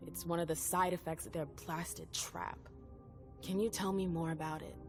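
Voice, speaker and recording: A woman's voice: fairly low pitch, steady tone, moderate pace, a little mellow, natural voice. A woman playing a stubborn, wounded female vampire in a video game, speaking in a cool, skeptical, insistent way. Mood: hurt, insistent. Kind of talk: video game cutscene dialogue acting. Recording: game music underneath. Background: background music.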